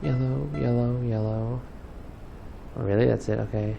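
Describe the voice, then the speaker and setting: Casting: male let's player, creaky mid voice, dry sarcastic grumbling, casual, game music behind. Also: mid-range voice, fairly even intonation, normal speed, highs cut off. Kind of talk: casual gameplay commentary. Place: voice-over over gameplay, off camera.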